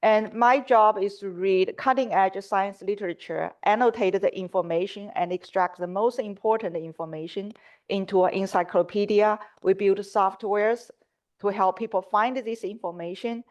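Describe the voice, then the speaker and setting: A woman's voice: medium pitch, fairly even intonation, moderate pace. A middle-aged woman from China, a native Mandarin speaker with a Mandarin Chinese accent, giving a prepared lecture as a symposium speaker. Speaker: symposium speaker, scientific curator.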